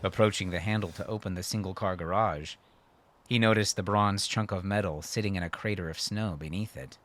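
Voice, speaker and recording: A man narrating scripted fiction as an audiobook reader, in a measured, dramatic manner. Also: sits low, fairly bright tone.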